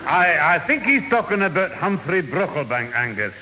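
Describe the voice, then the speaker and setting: A man's voice: sits high, very animated, normal speed. An actor playing a dry-witted, placating older man in a radio sitcom, wry and a little hesitant. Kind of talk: 1970s radio sitcom acting. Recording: muffled old radio, hiss, hall reverb.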